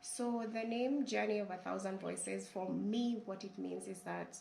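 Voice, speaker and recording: Hoarse Kenyan woman in her forties. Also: medium pitch, unhurried, mellow, roomy sound, slight background noise. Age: 40s. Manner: hesitant, restarts, earnest. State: hoarse from a flu. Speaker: channel host, interviewee. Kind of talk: casual interview. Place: her family home in a village.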